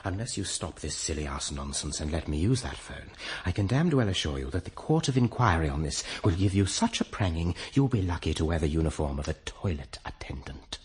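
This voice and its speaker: Flustered, husky upper-class British officer. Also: male voice, deep voice, expressive, a little fast, a little mellow, full character voice. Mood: indignant. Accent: British, upper-class English. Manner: stammering, exasperated politeness. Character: flustered, polite British RAF officer, clipped and indignant. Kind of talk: comic radio play acting.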